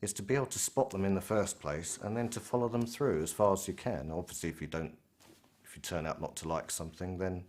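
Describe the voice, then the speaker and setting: Deep-voiced middle-aged British man. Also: darkish tone. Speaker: senior air traffic control manager. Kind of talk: reflective career interview.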